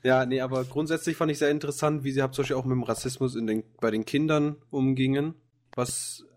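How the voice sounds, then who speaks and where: Male podcast co-host, mid-pitched, loose and rambling. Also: steady tone, quick pace, a little mellow. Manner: rambling, many fillers and restarts. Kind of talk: casual chat about anime.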